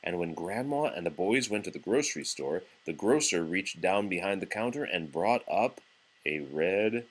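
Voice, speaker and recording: A man reads a children's book aloud in a low, husky voice. His delivery is very animated and expressive, yet patient and encouraging, as if for a small child beside him. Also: moderate pace. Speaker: parent reading a picture book aloud to a young child. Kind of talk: storybook read-aloud.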